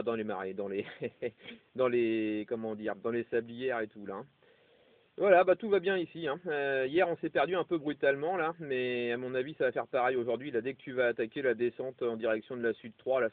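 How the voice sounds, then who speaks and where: A man's voice: mid-range voice, no room sound. A man with a French accent chatting casually as a radio operator, in colloquial phrases dotted with interjections. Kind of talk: casual two-way radio chat. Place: parked vehicle. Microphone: radio microphone. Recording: dry, phone-like two-way radio transmission.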